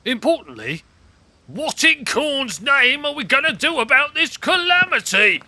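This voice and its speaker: A man's voice: extremely high pitch, very animated, a little slow, bright, forceful tone, voice pushed up, exaggerated voice. A man voicing a crazed, uptight male crow in an audio drama, with fussy, theatrical phrasing and a squawky edge. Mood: flustered urgency.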